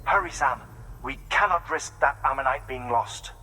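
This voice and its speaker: An actor playing an excited, bookish scientist in a video game, speaking in eager, formal, precise words. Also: male voice, medium pitch, normal speed, background noise, natural voice. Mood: urgent fascination. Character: excited, bookish male scientist studying fossils and extinctions. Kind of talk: video game cutscene acting.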